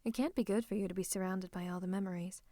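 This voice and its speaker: A woman playing a scheming royal advisor in a fantasy roleplay, speaking close to the listener in a coaxing, teasing, falsely comforting way with breathy asides. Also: medium pitch, fairly even intonation, fast talker, warm tone, no room sound, natural voice. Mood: sympathetic, silky. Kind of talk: roleplay ASMR monologue to the listener. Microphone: close microphone.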